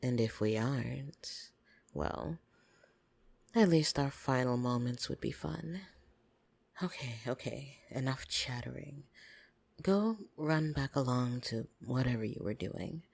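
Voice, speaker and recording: A woman playing a possessive, teasing vampire in an intimate ASMR roleplay, breathy and drawling, slipping into whispers. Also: rumbling low, slow, a little mellow, dry recording, heavily acted. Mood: amused. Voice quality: husky, creaky. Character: possessive, teasing female vampire doting on her human pet. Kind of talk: ASMR roleplay, scripted monologue to the listener. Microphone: close, dry microphone.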